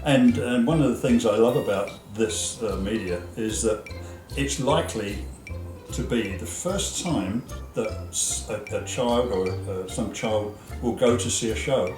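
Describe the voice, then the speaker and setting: A man giving halting, conversational explanations as an interviewed stage performer. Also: medium pitch, a little mellow, far from the mic. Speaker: pantomime performer being interviewed. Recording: echoey room, music behind.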